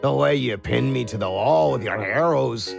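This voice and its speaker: A man's voice: mid-range voice, moderate pace, creaky, faint music behind. A man playing a gallant, fawning squid legionnaire with tentacles in an audio comedy drama. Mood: smitten.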